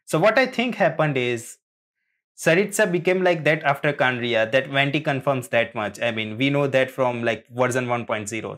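A man talks through a casual fan reaction at an unhurried pace. His pitch sits in the middle, and his delivery rambles and halts as he works out his theories. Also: a bit bright. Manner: rambling, halting, chuckling often. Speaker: reaction video host.

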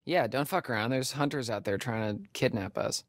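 A man's voice: mid-range voice, brisk, no echo, clean studio sound, lightly acted. A young man with an American accent playing a sarcastic, nervy camp counselor in a video game, speaking in a hesitant, stammering way. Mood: wry, uneasy. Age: young adult. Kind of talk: naturalistic video game acting.

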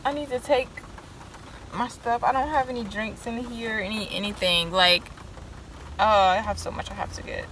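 A woman's voice: fairly high pitch, fairly even intonation, normal speed, fairly bright tone. Young woman rambling in a noisy van. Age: young adult. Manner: rambling, chatty, talks to viewers. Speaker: vlogger. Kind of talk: casual vlog. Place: inside a parked van on a rainy day. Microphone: handheld phone camera.

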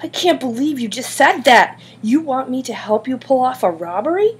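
A woman reader plays a fiery schoolgirl animal lover in a storybook. The voice is husky and pitched a little up, with scathing, emphatic indignation. Every phrase comes out as a scolding. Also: moderate pace. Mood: furious. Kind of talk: audiobook character dialogue.

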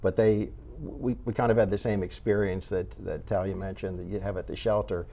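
Low-voiced, mellow older man. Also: steady tone, a little fast. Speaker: cat rescue volunteer, interview guest. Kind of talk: unscripted interview talk. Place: indoor TV studio set. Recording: clear background noise.

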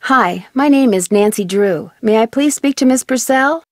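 Actress as young female sleuth, American accent, polite, inquisitive, fairly high pitch, dry game dialogue. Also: moderate pace, no echo, natural voice. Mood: polite, curious.

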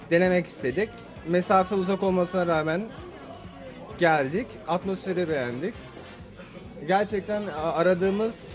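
A man in his thirties giving a halting customer testimonial inside a restaurant. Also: a little high.

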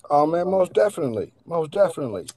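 A middle-aged man chatting casually as a radio guest, halting often in mid-sentence. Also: fairly high pitch, big pitch swings, a little mellow. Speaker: radio guest, cannabis strain reviewer. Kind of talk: casual chat.

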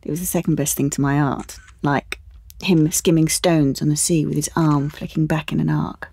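A woman's voice: low-pitched, fairly even intonation, normal speed, vocal fry, mellow, husky, full character voice. An actress playing a candid young British woman in an audio play, confiding memories of a romance. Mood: nostalgic. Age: young adult. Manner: confiding, intimate storytelling. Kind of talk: audio play monologue with dialogue.

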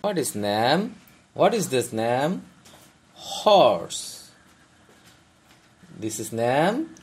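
Slow, patient man quizzing a child. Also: mid-range voice, sing-song, background noise. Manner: spells words out letter by letter and praises. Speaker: adult quizzing a child on animal names. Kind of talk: simple repetitive teaching talk for a young child. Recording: voice over loud background music.

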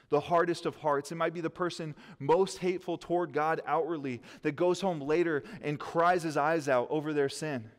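A man in his thirties, a pastor preaching at a lectern, earnest and gesturing as he quotes scripture. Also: a little high. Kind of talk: sermon. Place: church stage behind a lectern, indoors. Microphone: headset mic. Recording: long hall reverb.

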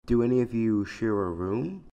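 Man reading questions, somewhat low. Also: expressive, normal speed, mellow, muffled recording. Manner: plain, casual reading. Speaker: reader of fan questions. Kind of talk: comic dub question reading.

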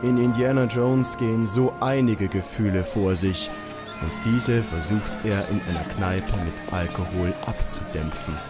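Low-voiced man narrating over loud music. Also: a little slow. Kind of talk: scripted audio drama narration.

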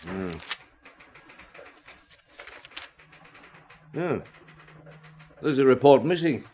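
A man's voice: medium pitch, animated, a little slow, warm tone. A man acting as a shrewd middle-aged chief inspector in a radio drama, questioning tersely with thoughtful grunts. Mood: suspicious, urgent.

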